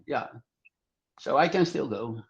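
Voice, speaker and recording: Halting older man, muffled audio. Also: medium pitch, animated. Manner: halting, repetitive non-native English. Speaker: podcast guest, club singer. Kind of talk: casual interview answers.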